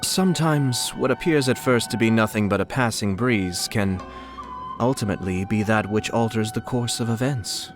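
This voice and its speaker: Game role: calm, scheming young male clan head voiced by a man, lowish register, courtly and measured, music underneath. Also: close to an everyday voice. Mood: reflective. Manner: polite, measured, formal, slyly amused. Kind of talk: scripted video game character lines.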